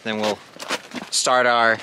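A man's voice: mid-range voice, level tone, takes it slowly, sharp tone. A young man chatting casually to camera as a vlogger, in an offhand, hesitant way. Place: outdoors in snow by a roadside. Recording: very noisy outdoor camera audio.

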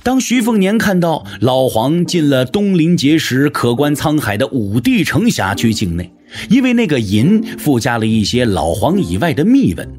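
Highly animated male storyteller. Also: moderate pace, soft background music. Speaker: narrator. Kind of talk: dramatic scripted audiobook narration.

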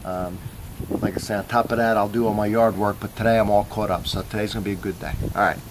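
A man with a fairly low voice gives a laid-back coffee review outside in his yard. He rambles easily, thinking out loud between sips. Faint outdoor ambience sits behind him. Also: fairly even intonation, fast talker, noisy background. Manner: easygoing, rambling, thinks aloud. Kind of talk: casual vlog review. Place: backyard outdoors.

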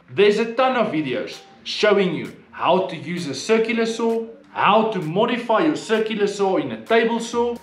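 Male DIY presenter, very lively swooping intonation, emphatic, small echoey workshop. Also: a little high. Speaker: DIY woodworking channel host. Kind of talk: presenting tool advice to camera. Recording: echoey room with background music.

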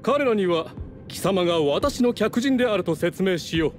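Pompous, higher-voiced scheming nobleman. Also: animated, normal speed. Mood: smug, assured. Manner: pompous, formal, condescending. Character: haughty scheming nobleman of a fallen clan. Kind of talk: Japanese game voice acting. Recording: game music behind the voice.

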